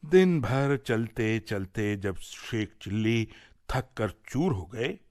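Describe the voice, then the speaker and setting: A man narrating a folk tale for radio, in an expressive, clearly enunciated storytelling delivery. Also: lowish voice, slow pace, dry recording, clean studio sound. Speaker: radio storyteller and series presenter.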